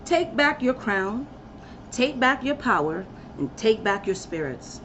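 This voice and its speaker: A woman's voice: fairly high pitch, very animated, a little slow, fairly bright tone, a little hiss or hum. A woman giving a passionate, preachy talk to camera, emphatic and blunt as she rallies her listeners. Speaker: spiritual practitioner giving a talk. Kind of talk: impassioned personal rant to camera.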